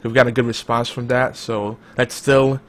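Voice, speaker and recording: An energetic male podcast host chatting casually with his co-host. His voice sits at an ordinary pitch, and he keeps the talk loud and lively. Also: normal speed. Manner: energetic, self-described loud and hype. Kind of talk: casual chat.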